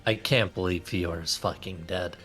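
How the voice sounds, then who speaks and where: A man's voice: low-pitched, fairly even intonation, normal speed, fairly bright tone, faint music behind. A man chatting casually and informally as a co-commentator on a game stream, sometimes swearing. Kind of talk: casual chat about a game.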